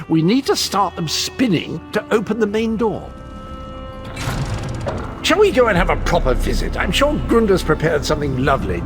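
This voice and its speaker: An actor playing an eccentric, cheerful adventurer in a video game, chatty and theatrical with a whimsically macabre streak. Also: male voice, high-pitched. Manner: jaunty, rambling, theatrical delivery. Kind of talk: video game character acting.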